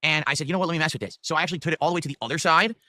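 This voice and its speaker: A man chatting in a casual stream monologue, words tumbling out very fast. His voice is bright and a little pressed. The sound is close and completely dry, like a desk microphone in a home room. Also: fairly high pitch, no echo. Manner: rambling explanation with many fillers. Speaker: streamer being reacted to. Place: home room with window open and fans running. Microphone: studio desk microphone.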